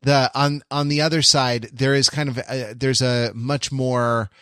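A man with a mid-pitched voice chats casually in a very dry, close recording. He speaks haltingly, circling back and restarting as he rambles, with a wry, self-deprecating touch. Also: level tone, a bit bright, clean tone, no echo. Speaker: podcast host. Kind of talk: casual chat.

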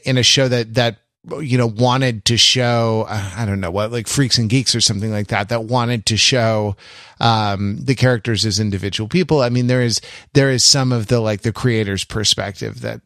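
A man co-hosting a podcast, speaking casually and haltingly as he searches for words. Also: mid-range voice, creaky, a bit bright, no echo, studio quality. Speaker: podcast co-host. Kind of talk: casual discussion.

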